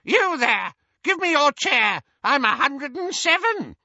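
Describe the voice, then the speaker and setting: Male reader putting on very old haughty aunt, shrill cackle, high-pitched, piercingly bright, imperious, audiobook character. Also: big pitch swings, slow pace, vocal fry, dry recording, highs cut off, exaggerated voice. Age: over 100. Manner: imperious, blunt, tipsy. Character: haughty, gleefully gossiping 107-year-old witch great-aunt.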